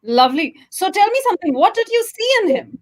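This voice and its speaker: A woman's voice: very high voice, very animated, fast. A woman hosting a talk show, chatting casually as she interviews her guests.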